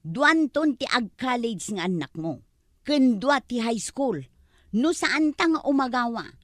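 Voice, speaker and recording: A woman's voice: on the high side, lively intonation, measured pace, no room sound, studio quality. A woman with an Ilocano accent playing a plaintive, headstrong young woman in a comedy-drama. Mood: earnest, pleading.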